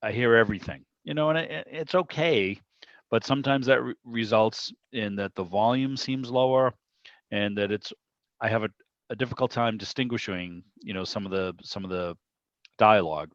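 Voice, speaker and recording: A man's voice: fairly low pitch, normal speed, no echo. A man hosting a club video meeting, chatting casually and wandering from one thought to the next. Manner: rambling, digressive, conversational. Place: remote video call. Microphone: video call microphone. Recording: dull, muffled video-call audio.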